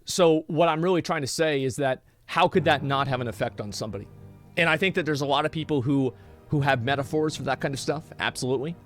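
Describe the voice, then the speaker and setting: A man's voice: a little high, fast talker, soft background music. A man streaming a game live, rambling in casual, profane chat with many restarts.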